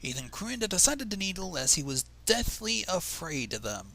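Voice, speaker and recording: Expressive, high-pitched man reading aloud. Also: a little fast, creaky. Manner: expressive, exasperated asides, swearing. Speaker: fan fiction reader, livestream host. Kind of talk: dramatic story reading with casual commentary.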